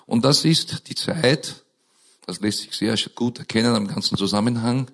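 A hoarse man with a scratchy voice, preaching a sermon in a slow, earnest way with emphatic rises, opening in prayer and reading scripture into a microphone. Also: mid-range voice. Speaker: pastor.

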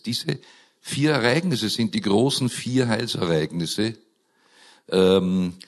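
A hoarse male pastor with a scratchy voice and a slightly low pitch. He preaches at an unhurried pace, earnest and deliberate, lifting his voice for emphasis as he reads scripture into a microphone. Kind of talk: sermon with prayer and scripture reading.

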